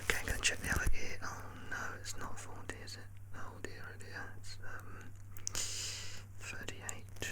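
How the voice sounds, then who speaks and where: An ASMR performer speaking in a soft, unhurried murmur that slips into breathy whispers, reassuring and gently playful in a personal-attention roleplay. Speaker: ASMR performer playing a measurer.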